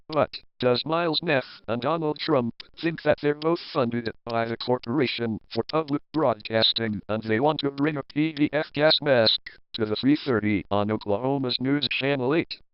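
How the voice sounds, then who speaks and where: Man playing an exasperated boss, wildly swooping pitch. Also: smooth, no room sound. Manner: emphatic, repetitive scolding. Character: exasperated, scolding male TV newsroom boss. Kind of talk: comedy sketch dialogue. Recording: dull lo-fi audio.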